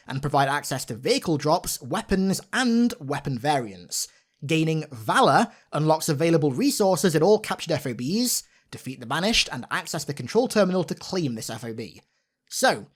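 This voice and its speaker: A man giving an informal, enthusiastic gameplay breakdown as a gaming commentator, talking like an excited fan. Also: fairly high pitch.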